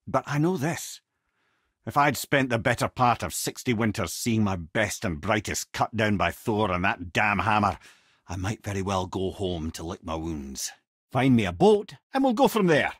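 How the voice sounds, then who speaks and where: A clever, wry old Scottish storyteller for a video game. His voice has a husky grain and swings widely in pitch as he spins long, wordy tales and dry advice. The sound is dry and close, with no room around it. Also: a bit bright, no room sound, exaggerated voice. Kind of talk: video game character dialogue.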